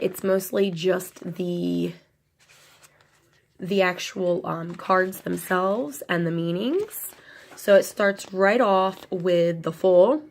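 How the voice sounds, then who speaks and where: Nasal, slow-talking woman with a cold. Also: on the low side, vocal fry. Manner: rambling, many ums and restarts. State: has a cold, nasal and crackly. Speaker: tarot channel host. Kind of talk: casual unboxing talk.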